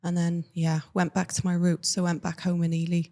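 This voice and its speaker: Candid Cardiff woman, low-voiced. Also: monotone, brisk, warm tone. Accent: Welsh, Cardiff. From Cardiff, Wales. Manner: candid, matter-of-fact storytelling. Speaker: guest. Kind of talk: personal life story in an interview.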